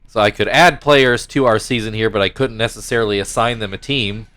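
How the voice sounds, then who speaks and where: A man's voice: on the low side, a little fast, fairly bright tone. Stuffy-nosed man calling a video game. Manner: rambling and self-correcting, mock play-by-play calls. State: stuffy nose. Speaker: gaming YouTuber commentating his own baseball game league. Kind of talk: casual play-by-play game commentary.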